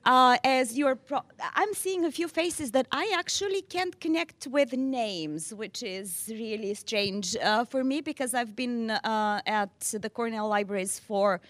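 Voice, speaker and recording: A middle-aged woman introduces herself to her colleagues. She is chatty and self-deprecating and laughs often. Her voice sits fairly high and sounds close and dry. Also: measured pace, hardly any pauses, a bit bright, dry recording. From Bulgaria. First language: Bulgarian. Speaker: library director. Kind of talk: self-introduction at a staff gathering.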